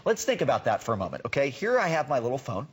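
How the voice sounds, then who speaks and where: A man's voice: medium pitch, sing-song, rapid-fire, husky. A man giving a live home shopping demonstration as a product expert, explaining conversationally and emphatically with repeated words for stress.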